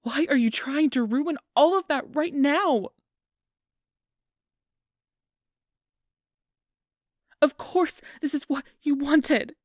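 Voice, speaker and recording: A woman's voice: sits high, measured pace, no room sound, clean studio sound. Woman acting a whispery, possessive, manipulative fairy. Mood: desperate, furious. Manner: whispery, commanding, swinging to pleading outbursts. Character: possessive, manipulative female fairy, imperious and desperate. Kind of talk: ASMR audio roleplay.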